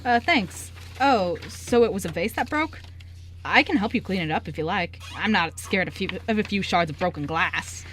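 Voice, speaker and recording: A woman's voice: medium pitch, expressive, normal speed, a bit bright, lightly acted. A woman playing a polite, helpful male newspaper reporter in an amateur radio play, with a hesitant, caring way of talking. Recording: background room noise.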